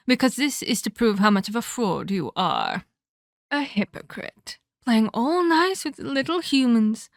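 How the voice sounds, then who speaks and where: A woman playing a haughty, menacing vampire elder in a scripted ASMR roleplay, speaking in a deliberate, taunting, condescending way with formal phrasing. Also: fairly high pitch, no echo, clean studio sound.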